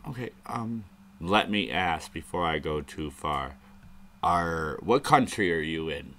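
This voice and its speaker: A deep-voiced man talks slowly on a live stream, thinking out loud as he goes. He rambles through his points and swears casually. A faint ambient hiss sits under his voice. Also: lively intonation. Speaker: show host.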